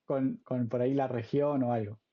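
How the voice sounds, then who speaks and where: A man's voice: mid-range voice, fairly even intonation, normal speed, muffled recording. A man with an Argentine accent, hosting an informal interview in a casual, conversational way. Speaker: podcast host, interviewer.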